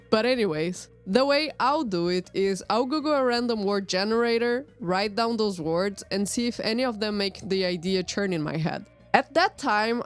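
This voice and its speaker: A woman whose first language is Portuguese narrates a drawing video in a relaxed, wry and self-deprecating voice-over. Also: mid-range voice. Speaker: artist and channel host.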